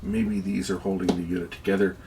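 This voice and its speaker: A man with a gravelly voice giving casual, hands-on electronics commentary, musing aloud and asking himself questions as a video host. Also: low-pitched, flat delivery, brisk, noisy background.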